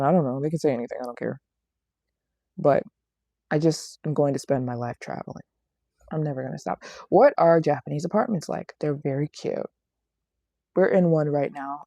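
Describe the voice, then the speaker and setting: Woman, late twenties, low mellow voice, casual livestream chat, playful and chatty, travel vlogger. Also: creaky, no echo, studio quality. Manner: chatty, playful, repeats phrases for emphasis. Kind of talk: casual livestream chat answering viewer questions. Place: small apartment living room in Japan.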